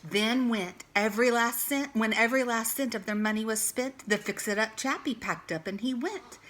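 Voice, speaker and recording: A woman's voice: fairly high pitch, normal speed, a bit bright. A woman reading a children's storybook aloud expressively, like a teacher to young pupils.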